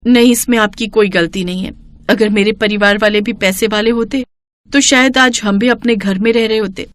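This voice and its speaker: A woman voicing a humble, gentle young wife in a story, speaking sincerely. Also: medium pitch, fairly even intonation, moderate pace, dry recording, lightly acted. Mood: gentle, earnest.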